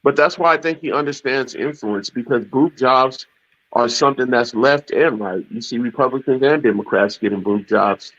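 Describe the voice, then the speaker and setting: A mid-pitched man chimes in on a casual talk, feeling his way through his points with plenty of fillers. His voice comes through slightly dull and muffled. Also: normal speed, a bit bright. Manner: hesitant, many fillers. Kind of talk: casual chat.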